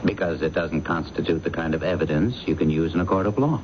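An actor playing a gruff, dogged veteran police inspector in a radio mystery, blunt and accusing in a gravelly voice. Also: male voice, fast talker, natural voice. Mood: grim certainty. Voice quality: deep, gravelly. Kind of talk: radio mystery drama acting. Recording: muffled old radio broadcast, loud noise.

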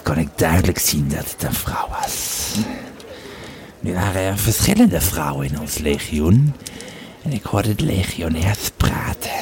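A man playing a tipsy old soldier of Rome, telling his war tales haltingly in an audio drama. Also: low voice, creaky, a little mellow, full character voice. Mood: tipsy reminiscing. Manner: halting, drunken musing with hesitations. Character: tipsy Roman legionary veteran recounting old war tales. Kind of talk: amateur audio drama storytelling. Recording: crowd chatter behind the voice.

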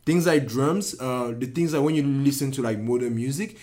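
A man's voice: medium pitch, normal speed, darkish tone. A man, chatting casually as an interview guest in loose slang, often restarting his sentences. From Cameroon. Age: early 20s. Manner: loose slangy talk with lots of "like", "yo" and "bro". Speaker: guest, dance instructor.

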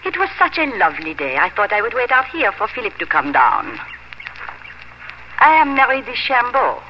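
A woman's voice: a little high, sing-song, normal speed, highs cut off, background noise. An actress playing a poised young French fiancée in a 1950s radio drama, airy and polished, politely cutting toward her rival. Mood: airy politeness. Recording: band-limited 1950s radio with music.

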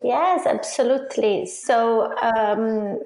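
A middle-aged woman from Brazil with a Brazilian accent, answering interview questions haltingly as she searches for words. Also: a little high, darkish tone. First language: Portuguese. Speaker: podcast guest, leadership coach. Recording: roomy, echoing sound.

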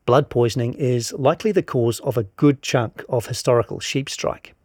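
A man's voice: mid-range voice, normal speed, a little mellow, slightly rough, no room sound, studio quality. A man narrates a scripted long-form article, reading out both the reporting and the quotations.

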